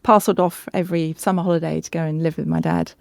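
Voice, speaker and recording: Female podcast host; chatty and encouraging, slightly low pitch with a gravelly edge. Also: flat delivery, quick pace, a little mellow, no room sound, studio quality. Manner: chatty, encouraging, laughs often. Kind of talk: casual interview chat.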